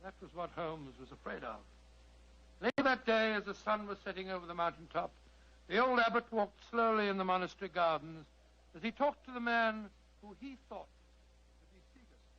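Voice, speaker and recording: An actor playing the storyteller of a detective mystery, in scripted old-time radio narration. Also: male voice, high voice, animated, normal speed, pauses often, pushed and bright, full character voice. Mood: suspenseful. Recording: muffled vintage radio.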